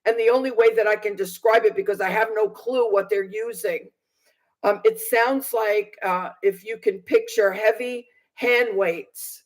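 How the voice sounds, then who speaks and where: A woman's voice: on the high side, rough recording. A woman in her sixties giving personal testimony to viewers in an aggrieved, emphatic manner, repeating phrases for stress. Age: 60s. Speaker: vlogger recounting personal experience.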